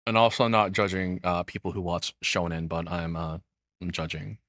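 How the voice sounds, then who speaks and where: A man with a fairly low, bright and forceful voice talks in a very dry recording. He rambles casually through his opinions like a streamer reacting, blunt and freely cursing. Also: moderate pace, no echo.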